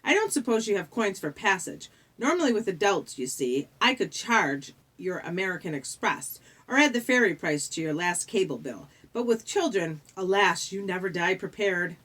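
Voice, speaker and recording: A woman voicing an elegant, coldly sweet ferryman of the dead with a British accent and a smooth, condescending drawl, for an audiobook. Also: medium pitch, big pitch swings, normal speed, hardly any pauses, fairly bright tone. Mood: dryly amused. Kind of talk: audiobook character voice.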